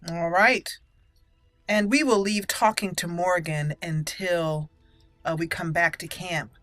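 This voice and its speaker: A woman's voice: fairly low pitch, fairly even intonation, takes it slowly, a bit bright, no room sound, soft background music. A woman hosting a roleplay let's play, chatting casually and playfully as she thinks aloud. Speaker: game roleplay channel host.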